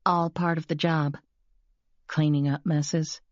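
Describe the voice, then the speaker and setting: A man voicing a calm, level-headed woman in an audiobook, speaking quietly. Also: fairly high pitch, slow, no room sound, muffled recording, voice pushed up.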